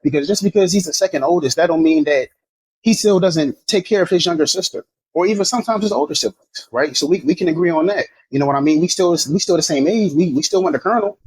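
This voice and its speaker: Raspy-edged guy, a bit high, frank and rambling, lots of cursing and restarts. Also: fast talker, rough recording. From Maryland, USA. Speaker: podcast guest. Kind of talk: casual podcast chat.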